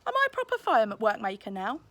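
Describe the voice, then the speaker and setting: A woman's voice: a little high, huge pitch swings, fast, fairly bright tone, dry recording. A woman voicing an eager, bold young girl apprentice, earnest and inquisitive, in a storybook. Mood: curious.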